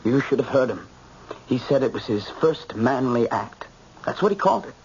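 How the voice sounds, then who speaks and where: Brooding American son, young adult, intense, insistent, radio drama, muffled and faintly noisy. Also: male voice, mid-range voice, big pitch swings, moderate pace. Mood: shaken, insistent. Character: shaken, brooding grown son. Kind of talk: old-time radio drama acting.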